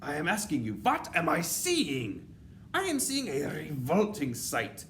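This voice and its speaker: A man's voice: medium pitch, animated, unhurried, vocal fry, a bit bright, slight background noise, pitched above the performer's own voice. A man voicing a tyrannical, furious female leader of witches in a storybook, shrieking commands and rhetorical questions. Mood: disgusted, ranting. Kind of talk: children's storybook read-aloud.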